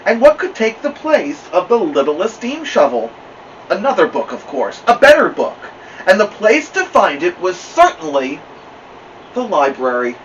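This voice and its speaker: A man with a slightly high voice narrates a children's story very expressively, his pitch moving widely. He sounds some way from the mic, in a slightly dull recording with faint noise. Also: wildly swooping pitch, a little slow, distant mic. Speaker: storybook reader.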